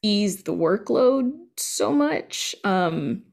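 A woman's voice: medium pitch, animated, very slow, creaky, a little mellow. A middle-aged woman giving candid, chatty answers as a guest in a casual interview. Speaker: interview guest, podcast creator.

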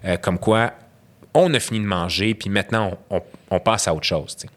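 A man's voice: fairly low pitch, a little fast, a bit bright. Reflective young man. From Quebec. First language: Arabic. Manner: reflective, thoughtful, many restarts and "tu sais". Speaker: podcast guest. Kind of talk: casual podcast conversation.

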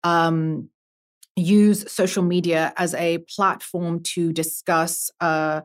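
Low-voiced woman, halting and thoughtful. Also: little pitch movement, smooth. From half Emirati. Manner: hesitant, many fillers and restarts. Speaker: guest, artist. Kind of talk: podcast conversation.

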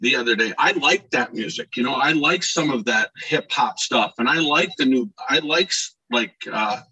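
Husky, bright-voiced man in his late fifties. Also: mid-range voice, lively intonation, moderate pace, rough recording. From Scranton, Pennsylvania, United States. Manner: rambling, repeats words, enthusiastic. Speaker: podcast guest, DJ. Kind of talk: casual interview chat.

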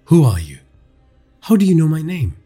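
A man voicing a determined, angry woman in an audiobook, speaking with forceful defiance. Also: fairly high pitch, huge pitch swings, normal speed, slightly rough, soft background music, pitched above the performer's own voice, heavily acted. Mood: shocked demanding. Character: determined, furious woman vowing revenge on her tormentor.

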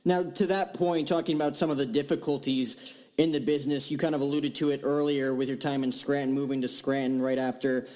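A man's voice: mid-range voice, fairly even intonation, a little fast, warm tone. A man hosting an interview, asking questions in a conversational way. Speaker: interview host. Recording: roomy with long reverb.

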